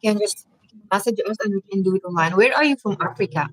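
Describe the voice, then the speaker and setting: A woman's voice: medium pitch, fast talker, a bit bright, low-quality recording. A woman from Egypt hosting a casual live-stream Q&A, chatting and reading viewers' comments aloud with lots of fillers. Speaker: vlog host.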